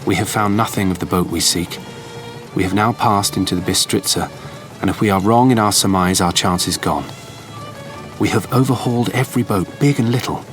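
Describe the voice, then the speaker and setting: A man acts a determined young Victorian gentleman recounting a pursuit in a period audio drama. His voice is very low, formal and urgent, with music clearly underneath. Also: brisk, natural voice. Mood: urgent, anxious.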